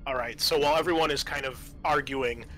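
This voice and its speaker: A man narrating a tabletop roleplaying game as game master, halting and thinking aloud as he improvises. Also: mid-range voice, fairly bright tone, a little hiss or hum, rough recording.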